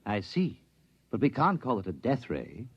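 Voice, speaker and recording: Earnest British officer, muffled vintage radio. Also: male voice, on the low side, wildly swooping pitch, moderate pace, gravelly, slightly rough, exaggerated voice. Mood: polite, serious. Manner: formal, earnest, hesitant politeness. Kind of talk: 1950s radio drama acting. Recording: dull vintage radio recording.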